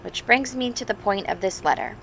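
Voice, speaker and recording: Lady doing a kids read-aloud, bit of gravel in her voice, talks simply to the little ones, noisy recording. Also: mid-range voice, a little fast. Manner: expressive, simple explanations and questions for young children. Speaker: teacher reading a picture book aloud to children. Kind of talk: storybook read-aloud with chatty asides to children.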